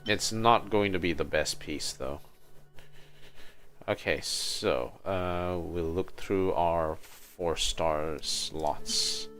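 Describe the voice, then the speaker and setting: A man's voice: sits low, extremely slow, fairly bright tone. A man giving casual livestream commentary as he plays a video game, half muttering to himself.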